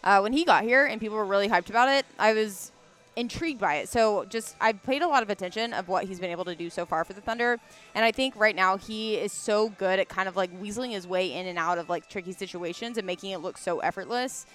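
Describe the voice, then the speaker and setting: A woman's voice: medium pitch, quick pace, a bit bright, soft background music. Young woman chatting casually about basketball. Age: young adult. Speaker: basketball podcast panelist. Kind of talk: casual chat.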